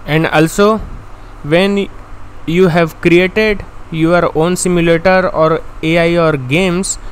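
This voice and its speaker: Slow-talking young Indian man. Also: a little high, slight background noise. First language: Hindi. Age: young adult. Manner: slow, step-by-step, excitable. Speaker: tutorial host. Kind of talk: screen-recorded software tutorial. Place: indoor room. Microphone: handheld foam-covered mic.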